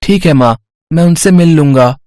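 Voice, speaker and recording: Eager, respectful schoolboy for a moral tale, acted by a woman, dark-toned and dry. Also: sits low, moderate pace, no room sound, close to an everyday voice. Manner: earnest, respectful. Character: eager, polite poor schoolboy devoted to his studies.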